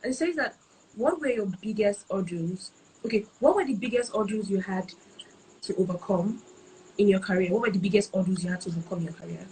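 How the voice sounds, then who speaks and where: A woman's voice: medium pitch, a little fast, a little mellow, rough recording. Woman hosting a live chat, mid voice, keeps rephrasing her questions, slight hiss in the background. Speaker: interview host. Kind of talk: live-streamed interview.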